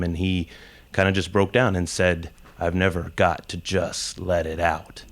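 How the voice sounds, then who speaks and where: Deep, even-toned earnest man. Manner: plainspoken, earnest. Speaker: audience member. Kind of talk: earnest reflective comment.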